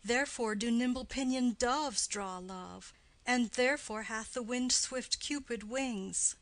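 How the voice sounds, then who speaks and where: A woman's voice: on the high side, a little slow. A woman voicing an impatient, lovesick, pleading young girl in a classical stage play reading, earnest and declamatory. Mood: impatient longing.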